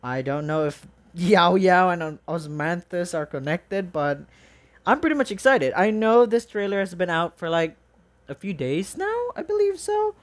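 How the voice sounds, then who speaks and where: Rambling, excitable reaction video host. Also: mid-range voice, normal speed. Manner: rambling, exclaiming, informal. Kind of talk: casual excited reaction commentary.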